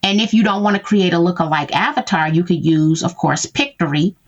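A woman's voice: lowish voice, expressive, brisk, dull audio. A woman guest talking through how she uses tools in her business, checking in often with "right?". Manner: explains with frequent "right?" check-ins. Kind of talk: conversational interview.

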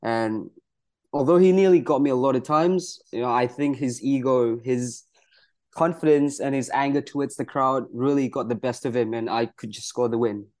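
Young male wrestler from Malaysia, earnest and proud, mid-pitch, filler-heavy casual answers. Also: moderate pace, a little mellow. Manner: earnest, proud, many "you know" fillers. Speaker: pro wrestler guest.

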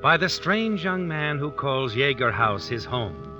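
An actor playing an ominous, all-knowing storyteller, narrating an old-time radio mystery in a suspenseful, insinuating manner. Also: male voice, mid-range voice, big pitch swings, measured pace. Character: ominous, all-knowing shadowy storyteller who walks by night. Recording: muffled vintage radio recording. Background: music.

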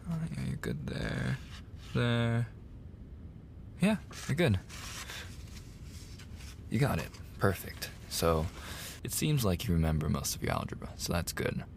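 A man plays a male college tutor in an intimate ASMR roleplay, easygoing and teasing. His soft voice sits low with a heavy creak, and he takes his time with casual, flirty pauses. There is faint noise behind him. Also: sing-song, heavily acted. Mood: encouraging. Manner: casual, hesitant pauses, soft-spoken, drops into whispers. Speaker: audio roleplay performer.

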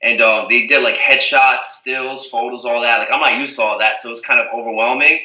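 A man's voice: mid-range voice, fairly even intonation, fairly quick, bright, forceful tone, echoey room, far from the mic, poor audio. A young man from the Azores, a reality TV guest, chatting casually and rambling with lots of "like" and "you know what I mean". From Portugal, the Azores. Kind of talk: casual interview chat.

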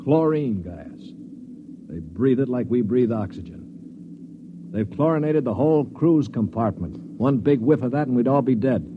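An actor playing a cynical, principled captive in a classic radio drama, wry, firm and world-weary. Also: male voice, mid-range voice, lively intonation, a little slow, background noise, natural voice. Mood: matter-of-fact. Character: cynical, level-headed male space captive, outspoken and principled. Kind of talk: classic radio drama acting with first-person narration. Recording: muffled old radio recording, music behind.

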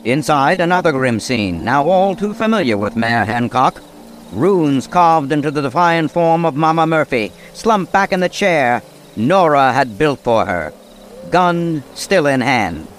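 Grim, high-pitched radio narrator. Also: male voice, big pitch swings, measured pace, slight background noise. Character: grim male noir storyteller, dramatic and ominous. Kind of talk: scripted old-time radio narration.

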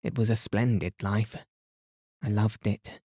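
A reserved young Englishwoman speaks in measured, composed sentences, her manner grave and quietly authoritative. The tone is dark and mellow, and the recording is very dry and close, as a man reading the story puts her on. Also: fairly low pitch, fairly even intonation, husky, dry recording. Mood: reflective.

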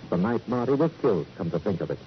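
An actor playing a suave, self-possessed former racketeer, coolly genteel and clipped, in a radio crime drama. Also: male voice, lowish voice, sing-song, fast, a little hiss or hum. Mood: matter-of-fact. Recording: old radio drama recording, dull, music behind.

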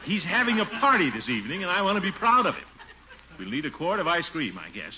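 An actor playing a pompous, easily flustered middle-aged uncle in a blustery 1940s radio comedy style. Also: male voice, sits high, huge pitch swings, fast talker, a little hiss or hum. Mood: self-important. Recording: dull vintage radio recording.

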